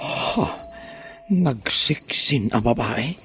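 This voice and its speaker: A man with an Ilocano accent playing a lovesick, dejected young delivery rider in a comedy drama, halting and plaintive. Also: mid-range voice, animated, noisy background. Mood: polite, flustered. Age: young adult.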